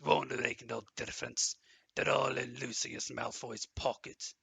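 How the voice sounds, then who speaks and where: A man playing a distraught, soft-hearted half-giant gamekeeper in an audio drama, with rustic speech and a gravelly, husky voice. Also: medium pitch, little pitch movement, a little slow, no echo, clean studio sound, heavily acted. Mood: upset, gloomy.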